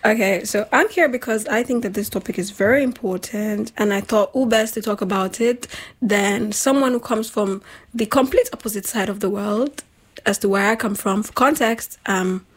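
A woman with a slightly husky voice, hosting a casual chat in an informal, emphatic, slangy way. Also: medium pitch, normal speed. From Nigeria. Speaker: talk show host.

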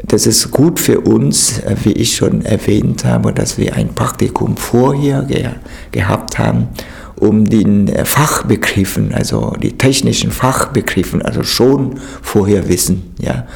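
A man's voice: fairly low pitch, unhurried, vocal fry, darkish tone. A man from Vietnam, speaking with a Vietnamese accent and frequent hesitations as he recounts his experience. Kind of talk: spontaneous interview account.

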